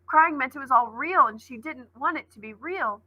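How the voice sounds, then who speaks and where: A woman's voice: sits high, expressive, normal speed, pushed and bright, dry recording. A woman teacher reading a novel aloud expressively, adding brief asides.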